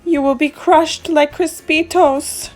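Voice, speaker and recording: A woman's voice: high voice, steady tone, takes it slowly, darkish tone, faint music behind, voice pushed up. A woman voicing a brave, proud little male Chihuahua in a read-aloud children's storybook, speaking in a dramatic, declaring way.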